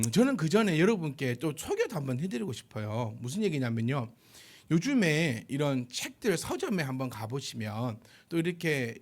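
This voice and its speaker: A man in his forties, a pastor, giving a chatty, informal sermon with a self-deprecating manner. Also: on the high side. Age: 40s. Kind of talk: sermon, conversational and anecdotal.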